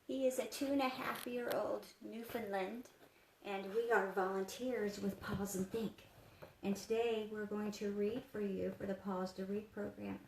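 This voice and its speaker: A woman reading a children's story aloud in an expressive, simple storytelling manner, as if to young listeners. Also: mid-range voice, unhurried, creaky. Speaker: therapy dog handler and volunteer reader. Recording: echoey room with faint background noise.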